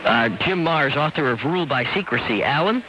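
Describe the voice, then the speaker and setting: A man's voice: a little high, lively intonation, fairly quick, pushed and bright. A man hosting a call-in radio talk show, speaking conversationally with guest and callers. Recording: dull, noisy shortwave radio cassette.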